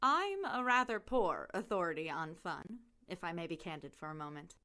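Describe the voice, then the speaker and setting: A woman playing a formal, curt, overworked lab colleague in an audio drama, speaking in a clipped, deadpan, precise way. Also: lowish voice, normal speed. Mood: dry.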